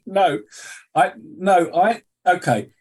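A man in his sixties co-hosting a relaxed chat, speaking with a husky edge. His humor is dry and sarcastic, every remark delivered wryly. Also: sits high, slow pace. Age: 60s. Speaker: co-host. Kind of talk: casual chat.

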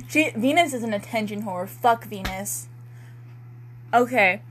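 A woman's voice: a little high, takes it slowly. A woman doing a casual comedic dramatic reading with banter, in a giggly, self-mocking and irreverent manner. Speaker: co-host and writer of the story being read.

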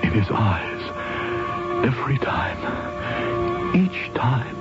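A man's voice: on the low side, sing-song, slow pace. A man playing a brooding war-veteran husband, earnest and intense, in an old-time radio drama. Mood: haunted. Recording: dull old radio recording, loud music.

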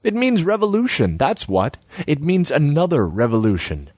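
Man voicing haughty young female artist, high, preachy, dry close sound. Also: wildly swooping pitch, moderate pace, a little mellow, dry recording. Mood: haughty lecturing. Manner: scornful, lecturing, self-important.

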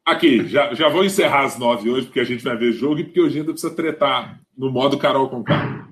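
A man with a Brazilian Portuguese accent hosting a casual football live stream, animated and often repeating words as he restarts. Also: medium pitch, a little fast. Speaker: host.

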